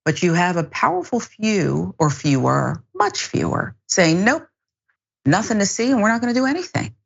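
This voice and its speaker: A woman with an American accent hosting a news discussion, speaking with emphatic, deliberate phrasing. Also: mid-range voice, very animated, gravelly, husky. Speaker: news show host. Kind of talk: news presenting and panel discussion. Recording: dull, band-limited broadcast audio.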